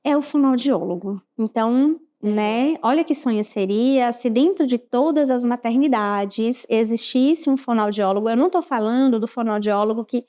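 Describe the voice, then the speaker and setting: A woman's voice: on the high side, moderate pace, hardly any pauses, warm tone. A Brazilian woman co-hosting a podcast, chatting informally and addressing listeners directly. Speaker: podcast co-host. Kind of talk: casual chat.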